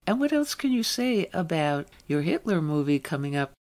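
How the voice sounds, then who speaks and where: A woman's voice: sits low, sing-song, normal speed, a little mellow. A woman working as a radio host, asking interview questions and reading scripted links.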